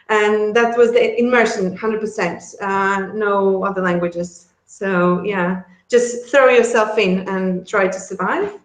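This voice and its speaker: A woman's voice: medium pitch, reverberant, rough recording. A woman from Latvia with a Latvian accent, a guest chatting casually in an interview, laughing often and restarting her sentences. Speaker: guest, relocation service founder.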